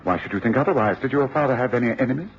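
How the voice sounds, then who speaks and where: Man acting quick-talking english detective, bossy and precise, old radio drama, muffled with hiss. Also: medium pitch, close to an everyday voice. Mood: probing. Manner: authoritative, precise. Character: brisk, commanding, observant gentleman detective. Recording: dull, noisy old radio recording.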